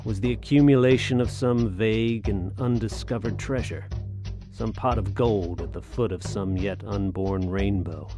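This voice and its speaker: An actor playing a wry, world-weary soldier of fortune, telling his adventure in an audio drama with measured, literary delivery. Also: lowish voice, close to an everyday voice. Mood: reflective narration. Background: music.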